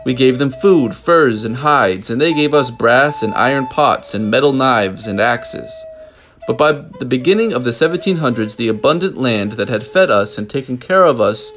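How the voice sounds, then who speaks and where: Man reading aloud over music. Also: mid-range voice. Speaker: storybook reader. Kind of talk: children's book read-aloud, scripted narration.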